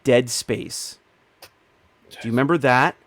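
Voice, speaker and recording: A man's voice: medium pitch, slow, fairly bright tone, dry recording. A man hosting a podcast, chatting casually with a group. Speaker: podcast host.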